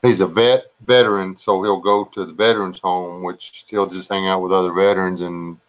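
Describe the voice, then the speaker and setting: A man rambling casually to his live chat viewers in a slow, drawling, low-key voice that some find relaxing and others boring. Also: fairly even intonation, rough recording. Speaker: live stream host chatting with viewers.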